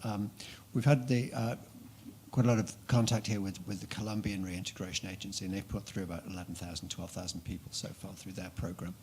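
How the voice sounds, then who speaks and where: A man's voice: low-pitched, fairly even intonation, brisk, a little mellow, slight background noise. A man in the audience at a policy event, putting an unscripted, articulate question to the speaker. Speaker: audience member asking a question.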